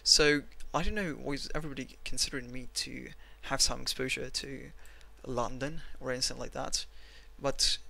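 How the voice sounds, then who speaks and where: A man with a Russian accent walks through market charts at his desk, rambling and self-mocking. His voice is gravelly and bright, recorded on a desk mic. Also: medium pitch, steady tone, moderate pace, few pauses. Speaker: trading analyst. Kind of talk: screen-recorded chart analysis.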